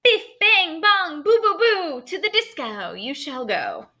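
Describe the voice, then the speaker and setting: A woman's voice: very high-pitched, big pitch swings, takes it slowly, bright, forceful tone, voice pushed up, exaggerated voice. A woman reading a children's storybook aloud, voicing a scruffy, bungling fairy who chants muddled spells in an exclamatory singsong. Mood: excited spellcasting.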